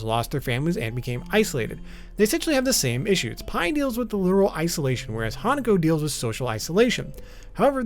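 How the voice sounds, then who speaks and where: A man narrating an explainer video about a visual novel. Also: on the high side.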